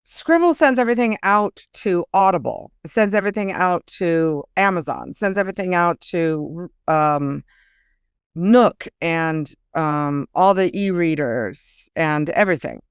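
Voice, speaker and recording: A woman's voice: fairly low pitch, animated, unhurried, smooth, no echo, clean studio sound. A woman speaking as a guest author in an interview, explaining things in an informal, conversational way.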